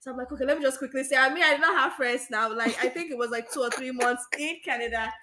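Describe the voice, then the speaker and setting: Casting note: young woman co-host from Nigeria, high-pitched, chatty storyteller who laughs a lot. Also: quick pace, a crowd in the background. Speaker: podcast co-host. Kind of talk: casual chat.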